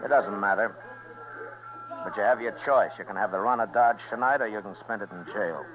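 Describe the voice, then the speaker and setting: Casting: man playing steady Western marshal, very low voice, terse, dull old radio recording. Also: over music, close to an everyday voice. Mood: firm, threatening. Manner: terse, unhurried, firm. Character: stern, weary, steady male frontier marshal. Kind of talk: 1950s radio western acting and narration. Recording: muffled 1950s radio recording.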